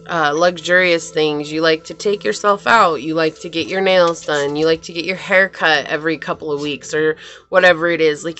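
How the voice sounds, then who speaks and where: A woman's voice: sits low, a bit bright. A young woman with a scratchy voice, chatting casually and reassuringly as she gives a tarot reading. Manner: chatty, reassuring, laughs easily. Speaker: tarot reader.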